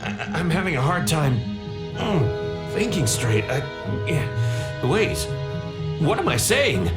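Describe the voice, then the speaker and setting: A man voicing a bewildered husband under dark possession in a video game, with a halting manner. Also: on the high side, sing-song, echoey room, over loud music. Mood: dazed, confused. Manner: halting, dazed.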